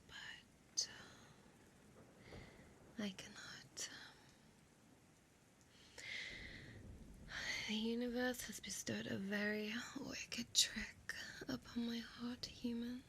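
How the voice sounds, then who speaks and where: Woman doing an ancient vampire lady for audio roleplay, breathy whisper, super slow n possessive, kinda high voice, seductive. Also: little pitch movement, a bit bright, a little hiss or hum. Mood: yearning, sorrowful. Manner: slow, drawn-out, teasing delivery. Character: ancient female vampire, seductive, possessive, doting on her captive.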